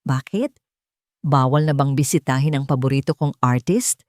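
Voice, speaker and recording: A woman's voice: rumbling low, moderate pace, darkish tone, dry recording, clean studio sound. A woman voicing a menacing, mocking male ex-lover with a sarcastic manner in an audio romance story. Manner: taunting, sarcastic.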